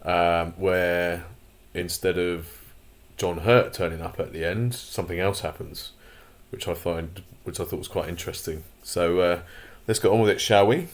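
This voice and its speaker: A man hosting a casual commentary vlog, his voice croaky, rambling with lots of fillers and opinionated asides. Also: low voice, normal speed, few pauses. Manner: rambling, many fillers and restarts. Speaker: channel host.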